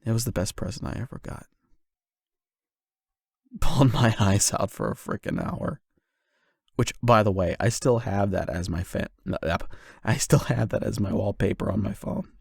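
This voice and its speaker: A man performing an intimate confession roleplay as a nervous, lovestruck young man, speaking in a halting, self-doubting, conversational way into a close microphone. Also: lowish voice, creaky, a little mellow, breathy edge, no echo. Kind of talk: emotional confession roleplay, ASMR-style monologue.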